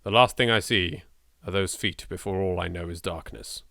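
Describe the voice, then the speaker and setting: A man's voice: low voice, normal speed, gravelly, sharp tone, no room sound, clean studio sound. A man with an English accent hosting a let's play, reading aloud dramatically and commenting in a theatrical, exasperated, sweary way. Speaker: gaming video host.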